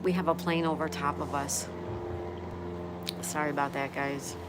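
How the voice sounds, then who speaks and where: A woman's voice: low voice, flat delivery, moderate pace, very noisy. A woman in her sixties hosting a casual livestream, chattily reading out comments and greeting viewers. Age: 60s. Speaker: livestream host.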